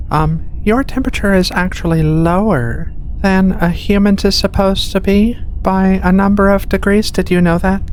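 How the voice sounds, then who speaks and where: A woman playing a gentle giant furry alien medical researcher in a soft-spoken ASMR roleplay, reassuring and a little hesitant. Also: low voice. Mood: calm, curious. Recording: clear background noise, ship ambience. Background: ambient hum of a research ship.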